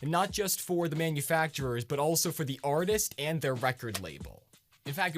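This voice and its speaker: A man's voice: fairly high pitch, fairly bright tone, slight background noise. A man hosting a scripted explainer vlog in a conversational, punchy way with casual asides. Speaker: video host.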